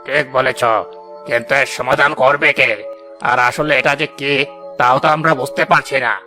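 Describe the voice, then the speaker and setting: A man's voice: medium pitch, fairly even intonation, moderate pace, bright, forceful tone, faint music behind. Man playing an authoritative cartoon village headman. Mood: concerned. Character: authoritative male village headman, concerned elder. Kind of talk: cartoon voice acting.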